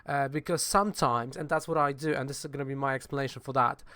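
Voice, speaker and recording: A man's voice: medium pitch, steady tone, fast, sharp tone, no echo. A young man whose first language is Polish, hosting his own channel in a loose, rambling vlog chat with many fillers and self-corrections. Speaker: channel host.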